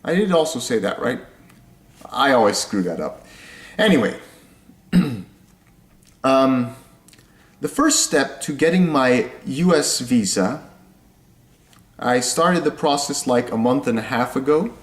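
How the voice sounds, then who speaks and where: A young man from Indonesia, explaining things step by step in a casual vlog, talking slowly and often repeating or correcting himself. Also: mid-range voice, expressive. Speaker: vlogger. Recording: echoey room.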